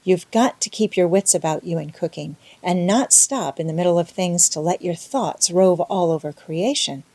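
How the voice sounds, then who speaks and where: A woman's voice: on the low side, moderate pace, darkish tone, dry recording. A woman voicing a stern, practical middle-aged farm woman in an audiobook, brusque and no-nonsense. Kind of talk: audiobook character voice.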